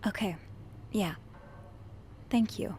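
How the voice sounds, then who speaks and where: A woman's voice: mid-range voice, slow, darkish tone, faint music behind, natural voice. A woman playing a young hotel maid who speaks earnestly and a bit hesitantly, somewhat naive. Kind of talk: video game voice acting.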